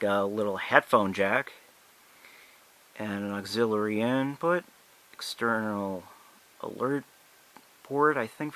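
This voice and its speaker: A young man giving a casual, unscripted product demonstration in a halting, hesitant, apologetic way. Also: on the low side, a bit bright. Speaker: amateur product reviewer.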